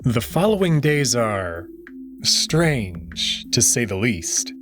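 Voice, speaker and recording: A man hosting a let's play channel, reading a visual novel aloud with wry, expressive delivery. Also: mid-range voice, slow, faint music behind.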